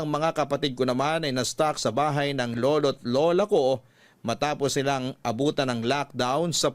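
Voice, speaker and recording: A man with a Filipino accent reading an emotional first-person story as a radio drama narrator, with deliberate, dramatic phrasing. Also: medium pitch.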